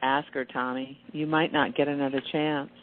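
A woman's voice: extremely low pitch, little pitch movement, moderate pace. A woman playing a playful, teasing female angel with a coy, feline manner in an amateur radio play. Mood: playful, coaxing. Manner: coy, kittenish, purring. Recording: thin phone-like line.

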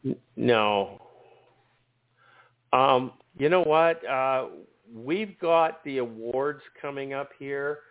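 A man hosting a casual sports podcast, hoarse and losing his voice, often repeating words as he starts a sentence. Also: mid-range voice, slow pace, pauses often, no room sound. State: losing his voice, sore throat. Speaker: podcast host. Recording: dry tinny phone-like line.

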